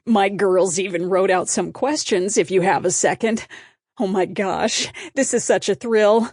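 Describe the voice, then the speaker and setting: A woman's voice: medium pitch, unhurried, a little mellow, no room sound, clean studio sound, lightly acted. Woman doing a goofy folksy superfan dad. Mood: gushing. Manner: folksy, stammering, eager.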